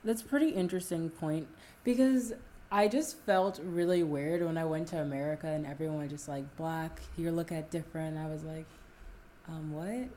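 A young co-host from the Bahamas speaks in a low, warm voice. She chats freely and fills her talk with "like". Faint noise is heard behind her. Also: steady tone, normal speed. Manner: chatty, many "like"s. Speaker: podcast co-host. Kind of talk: casual interview chat.

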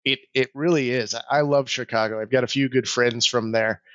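A man's voice: medium pitch, fairly even intonation, moderate pace, fairly bright tone, no room sound, lo-fi sound. A man hosting a podcast, chatting informally and easily as he asks questions. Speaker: podcast host. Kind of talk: casual interview chat.

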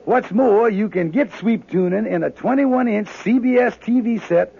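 An actor playing a wheedling, fast-talking husband in a 1950s radio sitcom, with folksy, exaggerated dialect and a coaxing manner. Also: male voice, high voice, vocal fry, slightly rough, heavily acted. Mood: amused. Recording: tinny, narrow-band old radio broadcast.

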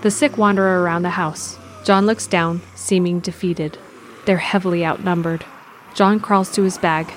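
A woman's voice: lowish voice, fairly even intonation, moderate pace, a little hiss or hum. A woman reading scripted present-tense narration for an audio drama.

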